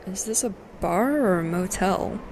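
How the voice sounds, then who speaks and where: An actress playing a sullen, worried teenage daughter in an audio drama, subdued and reproachful. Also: female voice, medium pitch, expressive, unhurried, very noisy, lightly acted.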